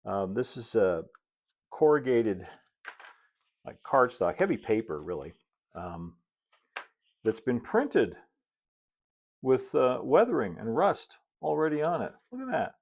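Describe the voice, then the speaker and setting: A man's voice: medium pitch, big pitch swings, slow, creaky, pauses often, a little mellow. A middle-aged man narrating a hands-on hobby tutorial in a casual, folksy way with chatty asides. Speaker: model railroad builder and video host.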